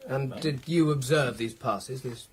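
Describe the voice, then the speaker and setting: An actor playing a sceptical, precise gentleman in a radio drama, questioning in a clipped, probing way. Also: mid-range voice, a little slow, slightly rough. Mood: sceptical, curious.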